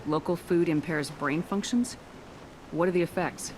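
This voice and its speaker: A woman's voice: fairly low pitch, normal speed, noisy background, lightly acted. A woman playing a cool, direct military commander in a video game, businesslike and questioning.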